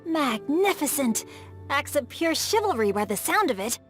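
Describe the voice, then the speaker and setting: A woman's voice: high voice, very animated, normal speed, faint music behind. A woman voicing a refined, bookish, playful boy with eloquent, formal phrasing in a video game. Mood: eager, playful. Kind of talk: video game character voice acting.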